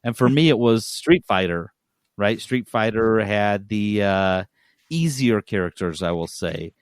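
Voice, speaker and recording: A man co-hosting a podcast, telling things in an animated, emphatic way amid casual banter. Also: lowish voice, unhurried, no room sound, studio quality. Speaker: podcast co-host.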